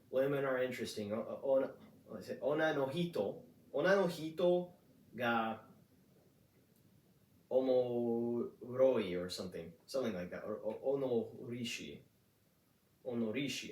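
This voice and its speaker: A young man in his twenties rambles through a casual vlog monologue, circling back on himself with fillers and restarts. He sits back from the mic in a roomy, echoey space, and his pitch sits in a middling range. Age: 20s. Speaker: vlogger. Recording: roomy echo, distant mic.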